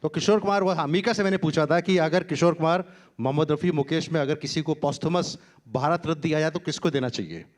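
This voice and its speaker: A man's voice: fairly high pitch, brisk. A man, a doctor on a conference panel stage, telling anecdotes in a chatty way that mixes Hindi and English, laughing often.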